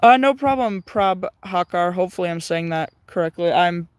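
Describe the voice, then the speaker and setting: Casual livestream host: young man, high voice, smooth, halting and full of fillers. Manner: hesitant, many "uh" fillers, thinks aloud. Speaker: livestream host tuning shortwave radio.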